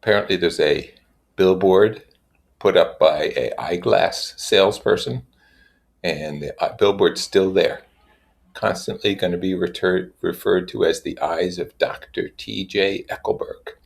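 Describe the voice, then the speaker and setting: A man with a very deep voice and plenty of fry reads literature aloud. His delivery is measured for the text and informal when he comments on it. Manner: measured reading with informal asides. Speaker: reader and commentator. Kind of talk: literary read-aloud with casual explanatory comments.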